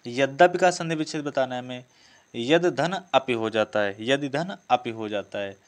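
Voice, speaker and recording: A man's voice: mid-range voice, lively intonation, moderate pace. A man teaching a test-prep lesson, working through questions didactically and repeating key phrases. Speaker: exam coaching teacher.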